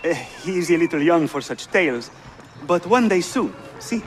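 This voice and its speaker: A man as a high-voiced courteous merchant traveler. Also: expressive, normal speed, soft background music, lightly acted. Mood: grateful, warm. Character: courteous, respectful male merchant traveler, a young father. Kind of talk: video game cutscene acting.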